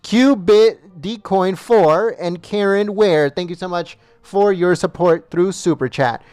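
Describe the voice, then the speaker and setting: High, smooth-voiced man thanking livestream viewers. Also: slow pace, a little mellow, no room sound. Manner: repetitive, reads names with small stumbles. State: losing his voice. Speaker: livestream host.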